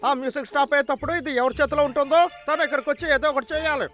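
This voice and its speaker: A man's voice: very high-pitched, fairly even intonation, racing, sharp tone, faint music behind. A man voicing a scheming, boastful male logger villain in a children's cartoon, with a sly, exaggerated manner. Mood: falsely friendly. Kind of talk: dubbed children's cartoon acting.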